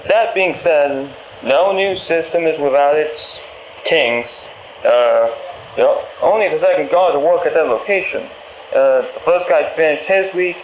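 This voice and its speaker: A man playing a friendly, nervous pizzeria employee in a game, leaving a hesitant, awkwardly upbeat training message over the phone. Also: on the high side. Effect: telephone voicemail. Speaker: company trainer for night guards. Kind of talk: rambling recorded phone message, game voice acting. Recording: muffled, roomy phone audio with faint noise.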